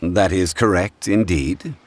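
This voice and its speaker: An actor playing a calm, erudite gentleman in a video game, speaking in a measured, formal and polite way. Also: sits low, slight background noise. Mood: composed. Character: calm, erudite gentleman consultant, unshakably composed.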